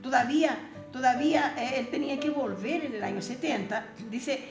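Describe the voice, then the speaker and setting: A woman's voice: high voice, normal speed, roomy sound, over music. A woman whose first language is Portuguese, teaching a Bible seminar lesson, reading verses aloud and asking rhetorical questions.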